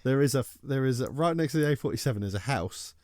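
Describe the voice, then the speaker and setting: A man's voice: mid-range voice, animated, fast talker, darkish tone, dry recording, clean studio sound. A man co-hosting a podcast, telling anecdotes in a jokey, casual way with informal British slang. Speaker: podcast co-host.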